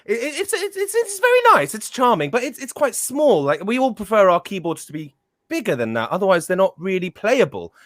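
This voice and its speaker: A man's voice: sits high, wildly swooping pitch, a little fast, fairly bright tone, no room sound, clean studio sound. A man on a headset, a comedian guest building a rambling comic answer with stammering restarts.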